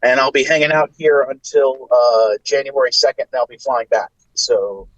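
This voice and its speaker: A man's voice: medium pitch, fairly even intonation, normal speed, dry recording, dull audio. A man from the US Midwest co-hosting a podcast, telling stories in a rambling, chatty way. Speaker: podcast co-host. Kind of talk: casual podcast chat.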